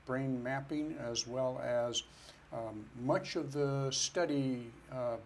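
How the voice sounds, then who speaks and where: A man's voice: mid-range voice, slow, a little hiss or hum. A man who is a financial planner, answering interview questions in an earnest, step-by-step way. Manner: earnest, explains step by step with restarts.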